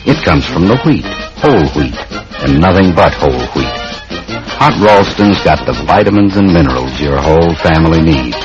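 A male American announcer sells in old radio style with a deep, animated, emphatic voice. Music plays clearly behind him on a muffled vintage recording. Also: normal speed. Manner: punchy, emphatic, repetitive selling. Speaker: commercial announcer. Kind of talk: 1940s radio advertising pitch.

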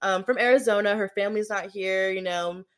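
A woman co-hosting a podcast, chatting casually, rambling with many likes and you knows and quick giggles. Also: mid-range voice. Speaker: podcast co-host.

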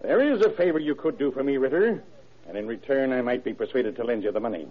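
A man's voice: mid-range voice, animated, quick pace, lightly acted. An actor playing an irascible, wealthy, middle-aged father who barks curt orders in an old-time radio drama. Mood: gruff, sly. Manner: curt, bullying, barking orders. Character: irascible wealthy older businessman, domineering father. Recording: dull, muffled vintage radio recording.

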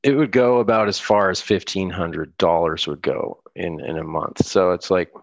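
Low gravelly American man, rambling. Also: steady tone, normal speed, no echo, lo-fi sound. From United States. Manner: rambling, laughs often, many restarts. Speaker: guest musician. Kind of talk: casual interview chat.

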